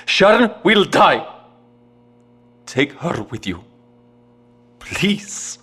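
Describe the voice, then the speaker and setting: An actor playing a desperate, frightened father in an audio drama, speaking in a halting, emotionally raw way. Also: fairly high pitch, sing-song, bright, forceful tone, husky, exaggerated voice. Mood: desperate, pleading.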